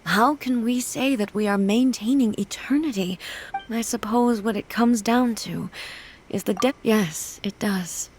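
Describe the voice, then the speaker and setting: A woman's voice: a little high, slight background noise, natural voice. Actress as a solemn, dignified immortal woman ruler. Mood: reflective. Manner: formal, measured, contemplative. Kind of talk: scripted video game dialogue. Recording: game music behind.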